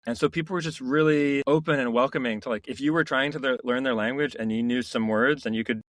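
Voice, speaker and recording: A man's voice: mid-range voice, fast talker, a bit bright, dry recording. Reflective man telling stories, dry sound. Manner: reflective, many restarts and "you know" fillers. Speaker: founder and CEO, podcast guest. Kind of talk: casual interview storytelling.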